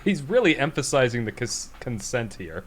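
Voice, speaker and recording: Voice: medium pitch, big pitch swings, moderate pace. A podcast co-host who chats casually and reads aloud from a story, in a giggly manner prone to breaking into laughter. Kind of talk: casual banter and reading a bad story aloud.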